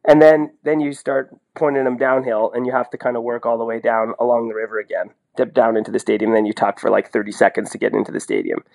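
A Canadian man giving casual, opinionated sports analysis in an animated, colloquial way, as a podcast co-host. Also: mid-range voice, fast, creaky, hardly any pauses, rough recording. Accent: Canadian English. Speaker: podcast co-host and ski commentator.

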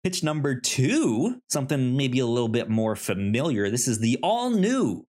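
A man's voice: medium pitch, sing-song, moderate pace, a little mellow. A man co-hosting a podcast in a casual, chatty style, often stammering on the first syllables of words. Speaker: podcast co-host. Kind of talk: casual chat, pitching comics and reading blurbs aloud.

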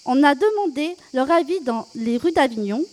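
Jeune coanimatrice aiguë, salle réverbérante. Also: female voice, sits high, lively intonation, a little mellow, big-room sound. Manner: brisk, scripted announcing. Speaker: co-host. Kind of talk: reading a show rundown.

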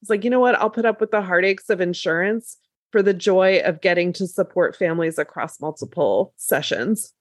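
Slightly gravelly American woman podcast co-host. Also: on the low side, moderate pace, no echo, studio quality. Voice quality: slightly gravelly from a recent flu. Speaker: podcast co-host and lactation consultant. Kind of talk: casual podcast conversation.

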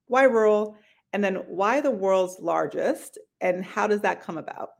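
Woman, podcast host, friendly, quick to laugh, lots of fillers, mid-pitched. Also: lively intonation, gravelly. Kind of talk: interview hosting.

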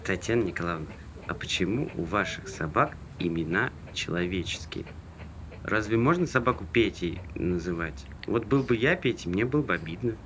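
Actor as a deep-voiced, rambling young dreamer. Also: male voice, expressive, moderate pace, a bit bright. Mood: politely cheeky. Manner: musing, rambling, conversational. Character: talkative, dreamy, good-natured young man. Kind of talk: naturalistic stage dialogue. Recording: noisy ambient background with vehicle sounds.

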